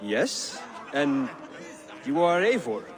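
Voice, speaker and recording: An actor playing a guarded young foreign apprentice, gentle and formal, in video game dialogue. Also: male voice, fairly high pitch, big pitch swings, takes it slowly. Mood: polite, guarded. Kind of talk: dramatic game cutscene dialogue. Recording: busy crowd behind.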